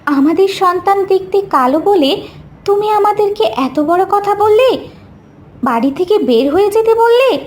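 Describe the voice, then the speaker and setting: Protective cartoon mother fox played by a woman, very high, slow, earnest and emotional. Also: expressive. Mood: hurt, indignant. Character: devoted, hopeful vixen wife and protective mother. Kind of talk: animated fairy-tale character voice.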